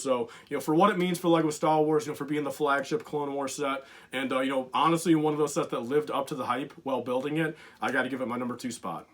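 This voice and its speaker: Fast-talking young man, rambling and eager. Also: mid-range voice. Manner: rambling, lots of fillers, enthusiastic. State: losing his voice. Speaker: LEGO collector YouTuber. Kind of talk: casual countdown vlog.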